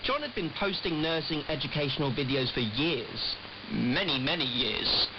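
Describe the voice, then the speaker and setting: A man's voice: fairly high pitch, expressive, moderate pace, background noise. A man working as a radio reporter, delivering a scripted report. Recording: muffled shortwave radio with music.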